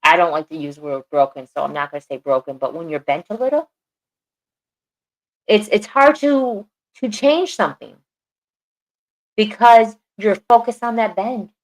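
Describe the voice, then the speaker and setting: A woman's voice: mid-range voice, moderate pace, long pauses, low-quality recording. A woman co-hosting a podcast, talking earnestly and repeating phrases for emphasis. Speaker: podcast co-host. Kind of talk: casual podcast chat.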